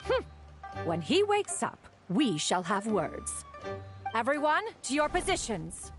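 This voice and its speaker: A woman's voice: on the high side, wildly swooping pitch, takes it slowly, music in the background, full character voice. An actress playing a haughty female immortal in a video game, scolding in a grand, stern, archaic manner. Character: haughty, imperious female adept, formal and scolding. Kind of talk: video game cutscene acting.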